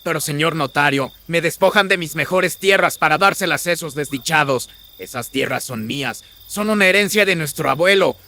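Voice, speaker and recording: A man as a sharp, bright-voiced indignant landowner. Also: a little high, fairly quick, voice pushed up. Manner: protesting, emphatic. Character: indignant, wealthy colonial landowner. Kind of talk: melodramatic radio horror drama acting.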